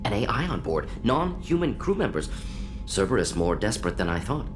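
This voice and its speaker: Eccentric alien professor, low swooping voice, brisk clipped fragments, pragmatic, video game, music behind. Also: male voice, very animated. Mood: excited, analytical. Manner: clipped staccato fragments, dropping small words, musing aloud.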